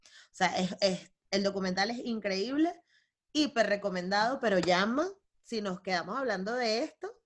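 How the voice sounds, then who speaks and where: A woman hosting a podcast speaks, quick and emphatic in casual talk. Her voice sits at a middle pitch, and she chats in a relaxed, slangy way. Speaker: podcast host. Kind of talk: casual conversational interview.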